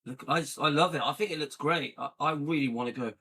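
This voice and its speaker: A man's voice: on the high side, fast talker, bright, forceful tone, husky. A man hosting a vlog, chatting casually and rambling to his viewers while browsing videos. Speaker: vlog host.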